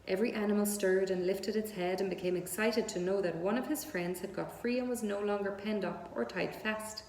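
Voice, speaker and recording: A woman's voice: mid-range voice, fairly even intonation, brisk, a little mellow, slight background noise. A woman reading a children's story aloud as narrator. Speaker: story reader. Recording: echoey room with long reverb.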